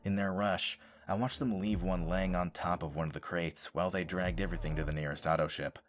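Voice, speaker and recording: A man playing a haunted janitor from a space base, recounting horrors in a brooding, theatrical way with deliberate pauses. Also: low-pitched, steady tone, close to an everyday voice. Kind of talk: dramatic scripted audio-drama narration.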